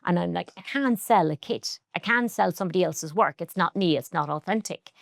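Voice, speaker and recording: A middle-aged Irish woman with a Cork lilt and a lowish voice, talking in an interview. She is earnest and reflective, with a clear pride in her heritage. The sound is dry and close, with no room around it. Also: animated, a little fast, no echo. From Ireland. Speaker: textile artist guest. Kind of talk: relaxed interview conversation.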